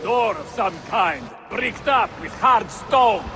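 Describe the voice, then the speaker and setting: A man's voice: sits high, animated, takes it slowly. An actor playing a doubtful, practical male henchman in a video game, with a blunt manner. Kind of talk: video game cutscene acting. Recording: noisy outdoor game ambience.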